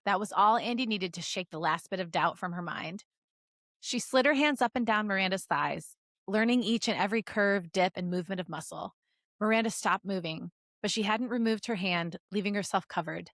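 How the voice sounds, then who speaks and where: A woman's voice: mid-range voice, normal speed, a bit bright, no room sound, studio quality. A woman podcast co-host reading fan fiction aloud in a giggly, joking way with casual asides.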